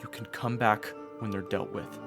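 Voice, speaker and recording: A man's voice: on the low side, steady tone, moderate pace, close to an everyday voice. A man acting an earnest teenage knight over music. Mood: earnest, tense. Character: earnest, urgent teenage knight, male, protective and stubborn. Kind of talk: audio roleplay drama with first-person narration.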